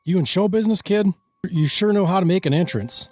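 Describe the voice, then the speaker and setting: A bullying small-town sheriff read by a man for an amateur radio drama. His voice sits a bit high for a male, yet he is gruff, impatient and sarcastic, with light music underneath. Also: a little fast, darkish tone. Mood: sardonic, commanding. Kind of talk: amateur radio play reading.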